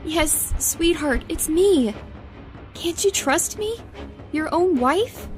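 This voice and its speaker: A woman's voice: very high voice, animated, takes it slowly, faint music behind, exaggerated voice. A woman playing a gracious, worried pony princess in a cartoon fan drama, polite and earnest. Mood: tender, pleading. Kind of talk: fan audio drama acting.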